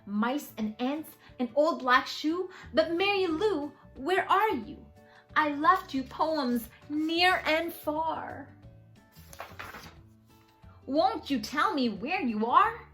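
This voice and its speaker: A woman voicing a bashful, earnest male slug in love from a storybook, speaking in slow, heartfelt verse. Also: high voice, expressive, fairly bright tone, faint music behind, pitched above the performer's own voice, exaggerated voice. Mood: longing.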